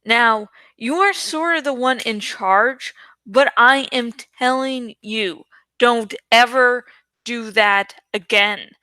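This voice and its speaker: Woman acting a firm, bright-voiced scolding teenage girl. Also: on the high side, takes it slowly, no room sound. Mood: stern.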